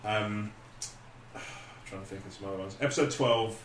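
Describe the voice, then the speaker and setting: A man's voice: on the low side, normal speed. A man hosting a podcast in a casual, chatty style. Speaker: podcast host. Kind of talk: casual chat. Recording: roomy echo with background noise.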